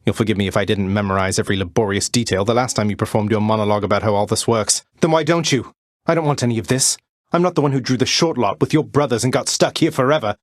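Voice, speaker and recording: An actor playing a young, rebellious prince of the underworld in a video game, earnest and wry, with polite understatement and dry sarcasm. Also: male voice, fairly low pitch, fast talker, no room sound, lightly acted. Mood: exasperated, sarcastic. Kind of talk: video game character voice lines.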